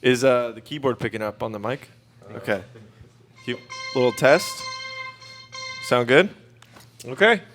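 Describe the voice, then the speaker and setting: A man's voice: medium pitch, lively intonation, measured pace, pauses often, fairly bright tone, faint music behind. A young man hosting student radio in casual, laid-back, rambling chat with some hesitation. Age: young adult. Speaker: student radio host and interviewer. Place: radio studio. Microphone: studio microphone.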